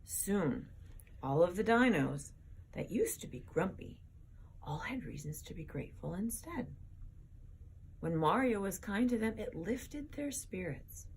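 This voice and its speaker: A woman author reading a children's story aloud with dramatic, expressive narration, pausing to engage young listeners. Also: low voice, slight background noise.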